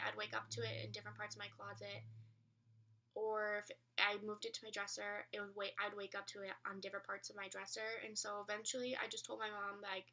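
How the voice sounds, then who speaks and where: A woman's voice: medium pitch, pushed and bright, distant mic. A young adult woman telling a personal story in a casual vlog style, rambling and correcting herself, with emphatic repetition. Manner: rambling, colloquial, self-correcting; emphatic, repeats phrases for effect. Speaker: vlogger.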